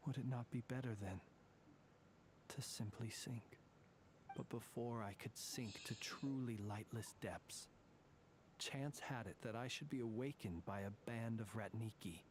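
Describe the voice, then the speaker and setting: A man voicing an ancient male fae in human guise in a video game, calm and formal, who sometimes drops to near whispers. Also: medium pitch, unhurried, a little hiss or hum, lightly acted. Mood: solemn. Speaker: lightkeeper guard.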